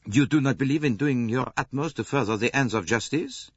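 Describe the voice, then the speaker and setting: A man playing a polite, self-assured detective in an audiobook, with a soft, courteous and insinuating manner. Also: mid-range voice, a little fast, husky, no room sound, highs cut off, voice pushed up, lightly acted. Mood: courteous, sceptical. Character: polite, probing male detective, quietly doubting and self-assured.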